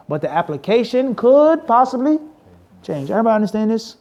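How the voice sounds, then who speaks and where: High-pitched male teacher, punchy rhetorical style, mosque talk. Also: mellow, highs cut off. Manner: emphatic, asks rhetorical questions to the crowd. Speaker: Islamic teacher. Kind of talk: lecture with Q&A.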